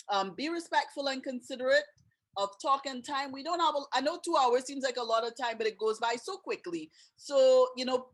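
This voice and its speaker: A woman with a Trinidadian accent, hosting a webinar over a video call in a chatty way and asking her guests questions. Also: on the high side. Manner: chatty, laughs often, asks questions. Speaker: webinar host.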